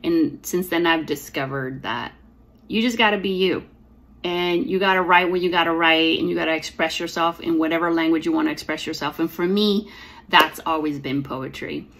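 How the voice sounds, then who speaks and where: A woman in her forties talks earnestly to a camera in a small room at home. Her voice sits deep and carries a gravelly edge, and a slight lisp colors her words. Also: normal speed, fairly bright tone. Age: 40s. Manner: reflective, earnest, with fillers and restarts. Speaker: poet and author. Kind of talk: personal storytelling to camera. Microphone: device camera at close framing.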